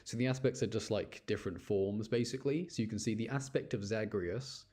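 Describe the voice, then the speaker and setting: Male game streamer, lowish voice, casual live commentary, chatty, explaining as he plays. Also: fairly quick, dark tone.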